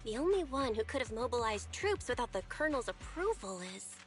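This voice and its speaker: A woman's voice: a little high, very animated, fairly quick, soft background music. A woman playing an earnest, dutiful young soldier in a video game, polite and eager.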